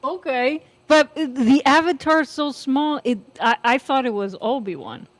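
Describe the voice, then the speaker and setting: Female panelist, higher pitch, very unhurried pace, casual group chat, informal. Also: lively intonation, no room sound. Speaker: panelist on an online fan discussion.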